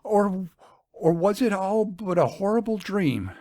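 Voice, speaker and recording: A man's voice: sits high, animated, measured pace, dark tone, no room sound, studio quality. A middle-aged man giving a dramatic reading of a gothic first-person tale, grave and sorrowful, his voice rising in anguish at its peaks. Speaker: narrator.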